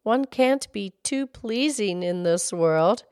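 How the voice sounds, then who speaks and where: A woman voicing a calm, kindly father who speaks mildly. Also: mid-range voice, takes it slowly, smooth, dry recording, studio quality, voice pushed up.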